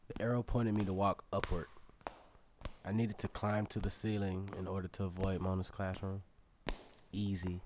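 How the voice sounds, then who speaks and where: A man's voice: on the low side, a little mellow, slight background noise, natural voice. A man playing a curious, adventurous young storyteller, narrating a scripted audio drama in the first person. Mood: focused, suspenseful.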